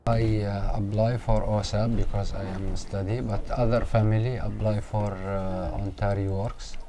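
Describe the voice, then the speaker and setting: Man with halting English. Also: fairly low pitch, level tone, warm tone. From Syria. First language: Arabic. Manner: halting, searching for English words. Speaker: interviewee. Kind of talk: interview. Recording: noisy background.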